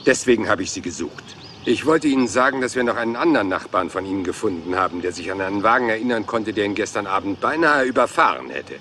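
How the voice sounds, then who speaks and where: A man's voice: fairly low pitch, expressive, quick pace, bright, forceful tone, slight background noise. A man playing a rumpled, polite police inspector who talks hesitantly and deferentially, calling everyone sir, in a dubbed crime series. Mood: polite, probing.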